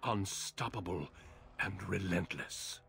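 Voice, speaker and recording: An actor playing a grave, weary veteran warrior commander in a fantasy game, speaking in a solemn, measured way. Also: male voice, sits low, gravelly, sharp tone, soft background music, exaggerated voice. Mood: solemn, ominous. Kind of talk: dramatic fantasy game narration and acting.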